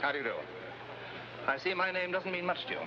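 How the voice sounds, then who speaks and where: An actor playing a chatty, whimsical gentleman novelist with breezy upper-class phrasing in a 1950s television adventure. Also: sits high, quick pace, lightly acted. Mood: breezy, chummy. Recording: muffled old TV soundtrack with noise.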